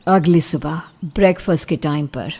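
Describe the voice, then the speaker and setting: A woman's voice: low voice, moderate pace, warm tone. A woman reading scripted narration for a horror cartoon story.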